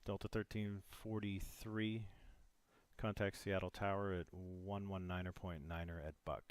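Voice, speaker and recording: A man playing an air traffic controller in a simulator, giving instructions in measured, formal radio phraseology. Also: sits low, little pitch movement, clean tone.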